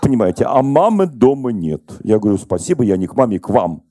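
A middle-aged man preaching a sermon as pastor, telling stories and questioning the congregation in a lively conversational way. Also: fairly low pitch, fast, dry recording, studio quality. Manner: conversational, animated storytelling to congregation.

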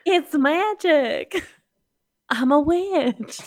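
A woman's voice: sits high, expressive, very slow, dry recording, studio quality. A woman playing a tabletop game, joking theatrically and swearing in character. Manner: theatrical, swearing, exaggerated complaints. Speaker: player.

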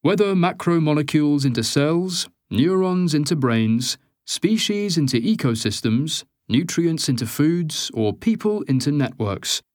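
Male nonfiction narrator: measured and articulate, lively intonation, dry studio-like sound. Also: on the high side, no room sound. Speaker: audiobook narrator.

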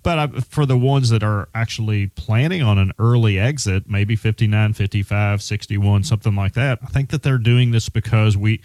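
A financial advisor hosts a radio show in a relaxed, plainspoken way, often restarting his sentences. His voice sits low and sounds dry and close to the mic. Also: normal speed, hardly any pauses, no echo. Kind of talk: conversational radio finance talk.

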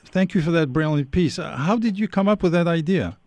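A man's voice: fairly high pitch, animated, brisk, darkish tone, no room sound. A man hosting an interview who praises his guests' work before asking his questions. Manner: appreciative, leads with praise. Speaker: interview host.

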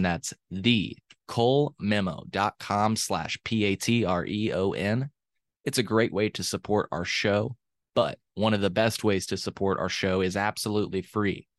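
A man's voice: sits low, dry recording, studio quality. A man hosting a podcast, reading an earnest intro with calls to support the show, then chatting and laughing easily with a guest. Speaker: podcast host. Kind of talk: podcast intro and casual interview.

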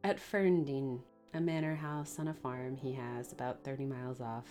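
A woman's voice: extremely low pitch, fairly even intonation, normal speed, mellow, soft background music, voice pushed down. A woman voicing a grave middle-aged male innkeeper who speaks in plain, old-fashioned country words and is deferential and sorrowful.

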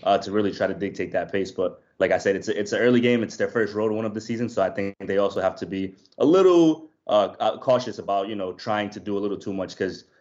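A low-pitched man from Colombia talks through a soccer matchup in a calm, measured way, hedging his points as he goes. His audio comes over a remote line and sounds a little dull and lo-fi. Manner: measured, hedging with "I think" and restarts. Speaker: soccer reporter guest. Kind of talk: analytical sports podcast chat.

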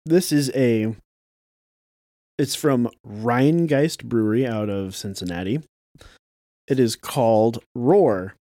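A man's voice: medium pitch, slow, long pauses, mellow, dry recording. Guy on a podcast, hedgy explainer, lots of likes, dry close mic. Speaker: podcast co-host. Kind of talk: casual chat.

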